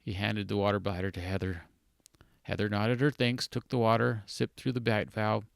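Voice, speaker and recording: A man's voice: fairly low pitch, level tone, normal speed, vocal fry, dry recording, studio quality. A man reading their own story aloud informally, occasionally stumbling and correcting mid-sentence.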